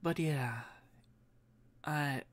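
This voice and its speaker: A man streaming as he edits a comic, muttering quietly to himself and answering chat in a casual way. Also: fairly high pitch, drawn-out words.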